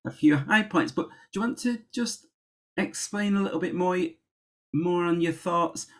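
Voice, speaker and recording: A woman interviewing a runner, asking halting questions with many restarts and encouraging murmurs. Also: lowish voice. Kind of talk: casual interview.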